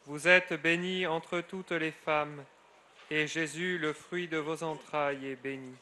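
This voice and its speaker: A middle-aged friar leading solemn prayer in a measured cadence, his phrases falling away at the end. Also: on the high side, steady tone, pushed and bright. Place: outdoors at a grotto shrine.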